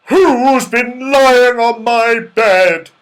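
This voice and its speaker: Woman putting on a growly, high-pitched father bear. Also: extremely slow. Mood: indignant. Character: growly male father bear, big, anxious and mournful.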